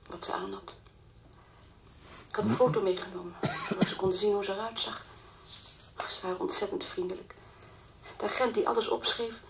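An actress playing the anxious, distraught mother of a missing girl in a Dutch radio play, halting and repeating her words. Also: mid-range voice, vocal fry, natural voice. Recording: old radio play recording, faint hiss.

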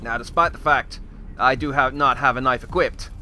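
A man's voice: medium pitch, moderate pace, noisy background, lightly acted. A man voicing a bumbling, boastful Australian survival expert with a wandering accent, rambling through mock lessons as game commentary. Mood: deadpan mock-expert. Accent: put-on wandering Australian. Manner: hesitant, rambling, mock-instructional. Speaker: gameplay commentator. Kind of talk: improvised in-character comedic game commentary.

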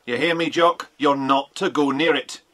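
A man's voice: fairly high pitch, normal speed, bright, forceful tone. An actor with a British accent playing a stern, shifty stepfather who gives insistent, ominous warnings in a children's audio drama.

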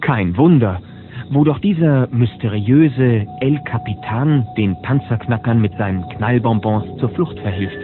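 A man's voice: medium pitch, a little slow, phone-quality sound, lightly acted. A man narrating a German children's audio drama as a wry, knowing storyteller. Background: music.

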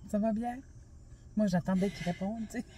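A woman's voice: mid-range voice, fairly even intonation, fairly quick. Brief: playful Quebec woman, 40s, co-host, big laughs, phone mic in a noisy car. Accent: Quebec French. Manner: playful, teasing, laughs loudly. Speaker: travel vlogger. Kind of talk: casual chat. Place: parked car. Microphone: phone camera on the dashboard. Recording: car with clear background noise.

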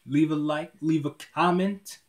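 A man's voice: a little high, steady tone, a little slow. Laid-back young man rambling to a webcam. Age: 20s. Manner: rambling, repeats words often, laid-back slang. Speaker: YouTuber. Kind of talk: casual vlog talk to camera. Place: small dim indoor room.

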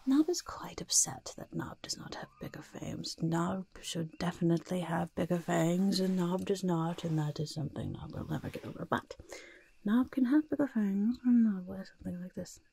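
A woman playing a quirky, friendly goblin master of disguise in a soft-spoken, often whispered ASMR roleplay, fussily repeating words. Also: lowish voice, normal speed. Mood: mock upset, then helpful, fussy. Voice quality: breathy whisper mixed with creaky soft voice. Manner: refers to self in third person, fussy, repeats words. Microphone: close ASMR microphone. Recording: close-up with audible hiss and clicks.

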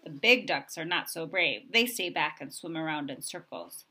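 A woman reading a children's picture book aloud in an expressive way, with simple, deliberate phrasing for young listeners. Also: low voice. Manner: expressive, acting out sound effects. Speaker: storybook reader.

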